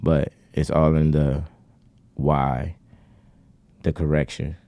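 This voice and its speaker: A man's voice: a bass voice, flat delivery, slow pace, mellow, no room sound. A man in his thirties talking in casual podcast chat, earnest and preachy in long winding runs. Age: 30s. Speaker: podcast co-host.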